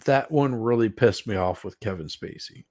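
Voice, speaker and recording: Animated man with a gentle Southern accent. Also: fairly low pitch, moderate pace, no room sound, studio quality. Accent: gentle Southern American. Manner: animated, laughs easily, restarts often. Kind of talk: casual chat.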